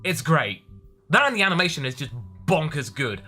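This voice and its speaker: Animated, bright-voiced man vlogging. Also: a little high, moderate pace, soft background music. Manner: enthusiastic, animated. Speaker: online vlogger. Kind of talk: casual question-and-answer vlog.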